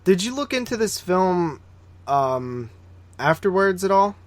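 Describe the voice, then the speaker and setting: A man's voice: high voice, very animated, a little slow, crowd noise. Casual male podcast co-host. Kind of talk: casual film review chat.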